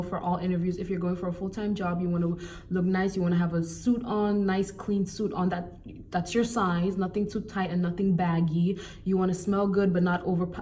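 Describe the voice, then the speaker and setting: A young woman from Cameroon talks casually to her viewers, chatty and animated with flashes of exasperation. Her voice is mellow and relaxed. She sits a little back from the mic, with a faint noise underneath. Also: mid-range voice, recorded from a distance. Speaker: vlogger. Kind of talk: casual advice vlog.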